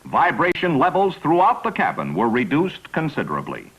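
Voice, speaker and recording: A man's voice: a little high, wildly swooping pitch, moderate pace, a bit bright, breathy edge, poor audio. An American man narrating a scripted 1950s promotional newsreel in a polished, declarative announcer style. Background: music bed.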